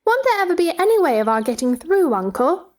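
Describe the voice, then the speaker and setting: A woman's voice: very high voice, sing-song, a little fast, darkish tone, voice pushed up. A woman voicing a chatty, curious little girl with an eager, inquisitive manner in a storybook play reading. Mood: wondering, eager.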